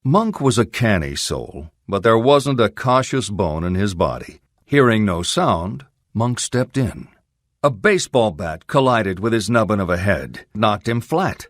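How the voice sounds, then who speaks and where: A man's voice: on the low side, animated, measured pace, no room sound, clean studio sound. A man narrating a pulp adventure audiobook in a dramatic, scripted storytelling style.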